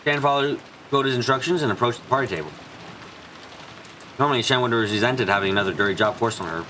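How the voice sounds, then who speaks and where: Fast-talking man reading game narration. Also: mid-range voice, bright, forceful tone, low-quality recording. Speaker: fan dub reader and narrator. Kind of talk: read-aloud game narration. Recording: faint background noise.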